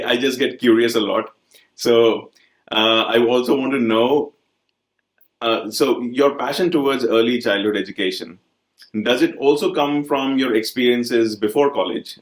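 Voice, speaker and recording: A man hosting an interview, asking curious, rambling questions with some hesitation. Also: fairly low pitch. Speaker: podcast host. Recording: echoey room.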